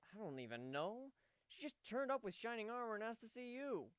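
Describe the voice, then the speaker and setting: A man's voice: high voice, very animated, normal speed, a bit bright, clean tone, no room sound, studio quality, pitched above the performer's own voice. A man voicing a good-natured, helpless young male pony who speaks in a hesitant, exasperated manner.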